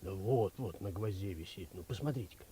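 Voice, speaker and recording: An actor playing a wry, hungry petty clerk in a 1950s Russian radio play, teasing and expressive. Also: male voice, low voice, fairly quick, slight background noise, close to an everyday voice. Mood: curious.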